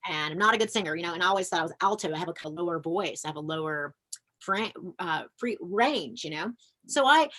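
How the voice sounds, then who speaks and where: A woman musician talking earnestly in a relaxed conversation, reflective, with frequent fillers and restarts. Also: mid-range voice. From American. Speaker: folk punk musician and music educator. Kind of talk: casual conversation.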